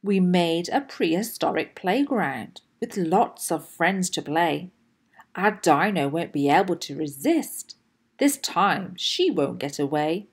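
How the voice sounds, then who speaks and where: A woman reading a children's storybook aloud in an expressive, deliberate way with emphatic phrasing. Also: fairly low pitch, breathy edge. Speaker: host and children's storybook reader.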